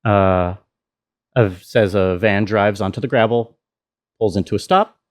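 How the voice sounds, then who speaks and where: A man talks through his sound design work in a casual, easygoing way. His voice sits a little low, and he takes his time, speaking very slowly and informally. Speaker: sound designer of an audio drama. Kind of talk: casual behind-the-scenes walkthrough. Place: indoor room with air conditioner running. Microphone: self-recording.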